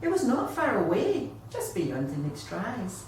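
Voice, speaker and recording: A woman storyteller reads a rhyming picture book with theatrical expression. Her pace is slow and her pitch is middling. She is heard from a little way off in a very echoey room. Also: huge pitch swings, a little mellow. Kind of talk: children's picture book read aloud in rhyme. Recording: echoey room, distant mic, faint noise.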